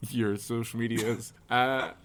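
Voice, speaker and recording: A man's voice: mid-range voice, flat delivery, takes it slowly, background noise. A man with a mid-range voice chats as a podcast co-host. His delivery is casual and playful, slipping into mock-formal asides as he banters.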